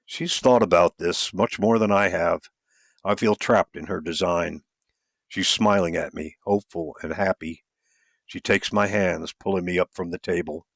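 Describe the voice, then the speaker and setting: Low-voiced man narrating a story. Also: moderate pace, vocal fry, a bit bright, no room sound. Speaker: audiobook narrator. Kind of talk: first-person dramatic story narration.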